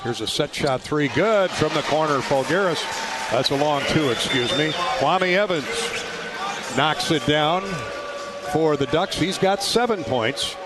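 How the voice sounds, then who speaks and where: An American man with a slightly high voice calls a basketball game live on radio. Clear arena noise and ball bounces sit behind his commentary. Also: lively intonation, measured pace. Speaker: play-by-play commentator. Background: game ambience, bouncing balls.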